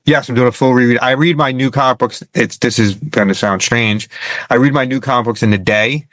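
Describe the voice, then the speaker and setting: A man's voice: mid-range voice, brisk, a bit bright, dry recording. A man hosting a casual interview as an enthusiastic, gushing fan. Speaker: interviewer, comic book fan host.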